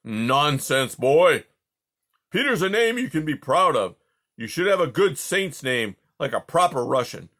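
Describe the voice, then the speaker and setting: A man voicing a jolly, domineering Russian tsar who talks loudly and teases. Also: on the high side, very animated, unhurried, exaggerated voice. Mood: dismissive. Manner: loud, roaring, teasing.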